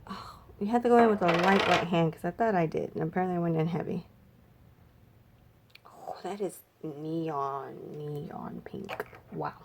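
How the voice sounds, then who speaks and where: A woman makeup vlogger chatting casually and thinking aloud, her voice a little raspy and stuffy from a cold. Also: on the low side, a little slow, noisy background. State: slightly raspy, congested from a cold. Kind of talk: casual makeup swatch review chat.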